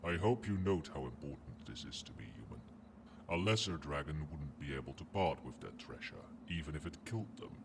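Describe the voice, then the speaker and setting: A man voicing a proud, possessive dragon with formal, haughty, measured speech in an ASMR roleplay. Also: rumbling low, lively intonation, noisy background. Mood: commanding, proud. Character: proud, imperious male dragon, possessive but grudgingly protective.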